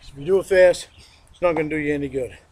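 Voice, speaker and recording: A man's voice: on the high side, fast, darkish tone. A middle-aged man demonstrating a workout in a backyard, plain-spoken and sniffling from allergies, recorded on a distant camera mic. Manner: plain-spoken, repeats himself, counts reps aloud. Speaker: home fitness instructor. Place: outdoor backyard lawn.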